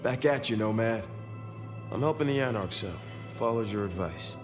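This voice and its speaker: A man's voice: on the low side, lively intonation, moderate pace, over music, natural voice. An actor playing an easygoing, friendly male street vampire in a video game, casual and plainspoken.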